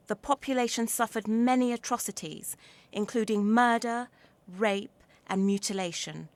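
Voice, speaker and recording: A woman presents news features as a reporter. Her voice sits at a middle pitch, and she delivers scripted narration.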